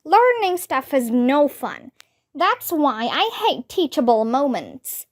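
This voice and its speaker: Very high, slow-paced animated woman reader. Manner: animated, expressive reading. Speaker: storybook reader. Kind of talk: children's book read-aloud.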